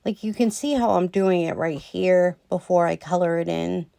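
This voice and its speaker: Woman doing a nail tutorial voiceover, kinda hoarse and gravelly, slow and rambly, dry sound. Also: on the low side, dark tone, dry recording. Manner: slow, rambling, many ums. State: losing her voice a little. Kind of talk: casual voice-over tutorial.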